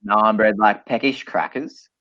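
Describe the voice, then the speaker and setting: Deep-voiced, slangy young Australian man. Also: steady tone, measured pace, no room sound, highs cut off. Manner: informal, slangy. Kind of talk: casual group chat.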